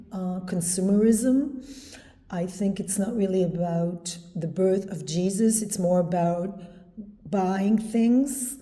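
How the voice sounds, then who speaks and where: A woman with an Israeli accent, talking casually to camera with many pauses and ums. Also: medium pitch, warm tone. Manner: slow, hesitant, many ums. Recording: echoey room with long reverb.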